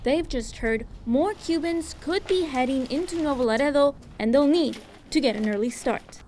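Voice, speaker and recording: A woman's voice: high voice, moderate pace, background noise. A woman television reporter reading scripted news narration.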